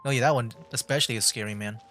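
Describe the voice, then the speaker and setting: A man's voice: medium pitch, rapid-fire, a bit bright. A man doing casual, rambling livestream commentary on a game, sometimes reading text aloud. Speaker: livestream gamer, VTuber. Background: game music.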